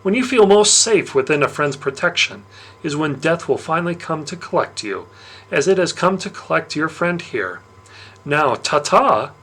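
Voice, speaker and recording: Man voicing a jovial, menacing plump wine god, swooping pitch. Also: animated, fairly bright tone. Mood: stern warning. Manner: theatrical, condescending, drawn-out emphatic phrasing.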